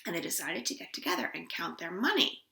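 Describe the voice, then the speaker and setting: Animated, bright-voiced woman telling children's stories. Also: lowish voice, creaky. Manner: expressive, playful, with drawn-out emphasis. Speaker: children's storyteller at a library storytime. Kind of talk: animated storytelling for young children. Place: at home, indoors. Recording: echoey room.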